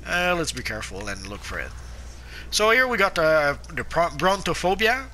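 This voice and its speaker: Man, slightly high voice, sore throat, sniffles, halting, casual game commentary. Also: big pitch swings, sharp tone. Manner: halting, frequent "uh" fillers and restarts. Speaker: gameplay guide narrator.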